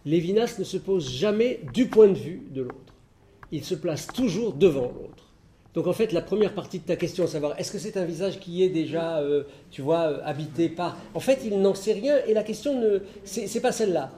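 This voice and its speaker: A man's voice: high voice, animated, moderate pace, mellow. A middle-aged man giving a philosophy lecture and answering audience questions, thinking aloud with many restarts and fillers. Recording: echoey hall recording.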